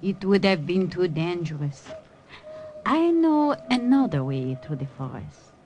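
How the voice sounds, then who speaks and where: A woman's voice: fairly low pitch, sing-song, measured pace, a little mellow, dull audio. Cautious older Frenchwoman, accented, old radio recording with faint hiss. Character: older French woman, protective aunt figure. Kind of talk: 1940s radio acting.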